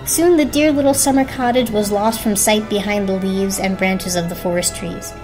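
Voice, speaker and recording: A woman's voice: medium pitch, fairly even intonation, moderate pace, darkish tone, low-quality recording. Female narrator for a storybook dramatic reading, mid-range voice, faint music underneath. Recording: music behind the voice.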